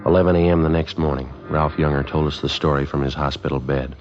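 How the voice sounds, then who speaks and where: A man's voice: a bass voice, fairly even intonation, fast talker, darkish tone, soft background music. A man acting as a terse, matter-of-fact police sergeant in a 1950s radio drama, speaking in a clipped, businesslike way. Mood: deadpan. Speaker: narrator and lead actor. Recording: muffled vintage 1950s radio recording.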